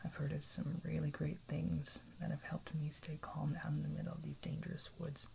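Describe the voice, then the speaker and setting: A man playing a kind, protective camper in an ASMR roleplay, speaking in a soft, breathy half-whisper with a hesitant, reassuring manner. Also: on the high side, little pitch movement, moderate pace, noisy background. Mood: soothing, reassuring. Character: kind, protective young male camper comforting a stranger.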